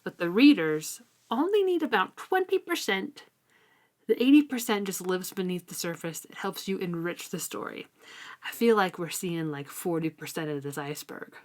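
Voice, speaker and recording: A woman's voice: fairly low pitch, lively intonation, moderate pace. A woman co-hosting a casual book discussion, giving blunt opinions and apologizing for them. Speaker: co-host of a book discussion podcast; middle school writing teacher.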